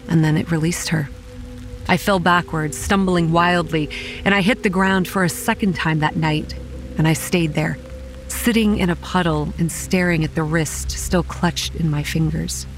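A woman playing a young campground manager, narrating a horror audio drama in a grave, measured way with a dry edge. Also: on the low side, steady tone, lightly acted, rough recording. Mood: grave, haunted. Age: young adult. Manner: grave, measured storytelling with dry sarcastic asides. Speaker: narrator and lead actor. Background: quiet music and rain.

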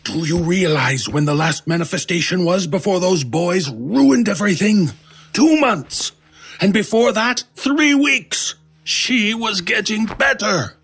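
An actor playing an older man in a horror radio drama, husky, protective and earnest, pleading desperately. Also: high-pitched, animated, unhurried, fairly bright tone, soft background music, full character voice. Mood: insistent, protective. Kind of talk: old-time radio style horror audio drama acting.

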